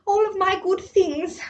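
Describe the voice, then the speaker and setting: A fretful, proud rich man as a woman puts him on, gasping and sighing in a dramatic storybook voice. The pitch is high and the sound a little dull. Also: recorded from a distance, slight background noise. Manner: dramatic gasps and sighs.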